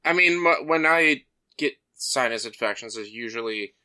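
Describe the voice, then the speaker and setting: A man's voice: mid-range voice, animated, moderate pace, bright, forceful tone. A man co-hosting a podcast, chatting loosely with lots of fillers and running through the night's agenda. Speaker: podcast co-host. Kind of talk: casual chat.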